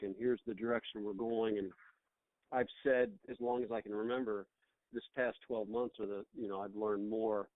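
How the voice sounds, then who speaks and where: A man's voice: lowish voice, no room sound. Phone-quality audio, dry sound, American man, middle-aged, reflective, conversational, rephrases mid-thought. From United States. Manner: reflective, rambling, often repeats words to rephrase. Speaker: small business owner being interviewed. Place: on a phone call. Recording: tinny, band-limited phone line.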